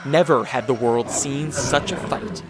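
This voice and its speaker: A man's voice: medium pitch, unhurried, over music. A man narrating a radio drama in a solemn, dramatic storyteller's style.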